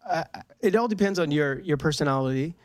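A woman's voice: sits low, fast, no room sound. A woman chatting candidly as a guest on a faith talk show, telling personal stories and acting out what people said. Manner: animated retelling with acted-out quotes. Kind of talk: candid personal storytelling in casual chat.

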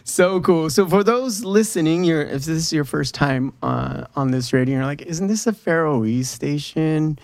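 A man's voice: on the high side, moderate pace, gravelly, hardly any pauses, darkish tone, dry recording. A man from Southern California hosting a casual radio show in a studio, playful and teasing his guests.

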